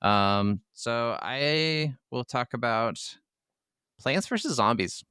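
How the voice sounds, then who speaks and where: A man's voice: medium pitch, slow pace, pushed and bright, clean tone, no echo, studio quality. A man co-hosting a gaming podcast, chatting in a laid-back, informal way with casual swearing. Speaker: podcast co-host.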